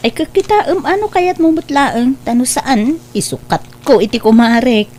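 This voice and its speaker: An actress playing a kindly middle-aged aunt in an audio drama, speaking in a caring, encouraging way. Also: female voice, high-pitched, moderate pace, a little mellow. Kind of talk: Ilocano audio drama acting.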